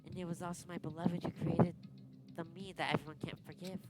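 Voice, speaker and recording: A devoted young woman, unhinged and dreamy, giggles between lines, as a woman acts her out. Her voice sits fairly low. Loud dramatic music plays under her. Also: little pitch movement, fast, close to an everyday voice. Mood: manic. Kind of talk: dramatic game dialogue. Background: loud game music.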